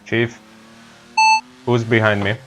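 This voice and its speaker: A man with a Hungarian accent who hosts a casual racing game demo and calls out loud, clipped commands to a voice app. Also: on the low side, little pitch movement, slow pace, very noisy. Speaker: sim racing video host.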